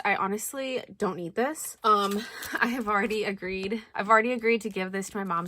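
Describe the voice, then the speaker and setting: A young woman chatting casually through a stationery unboxing, thinking aloud with lots of likes and ums, her voice a little hoarse. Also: mid-range voice, bright, forceful tone, a little hiss or hum. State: slightly hoarse. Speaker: planner vlogger.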